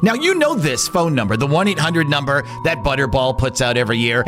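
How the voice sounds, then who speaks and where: A male radio host telling a story on his podcast with emphatic, drawn-out delivery. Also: fairly high pitch. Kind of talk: storytelling narration. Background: background music.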